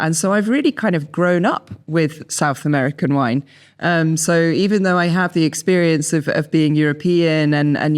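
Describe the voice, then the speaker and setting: Woman, 30s, southern English accent. Interview guest and expert; crisp, clean-toned, conversational delivery. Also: deep voice. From southern England. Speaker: wine expert and writer, guest.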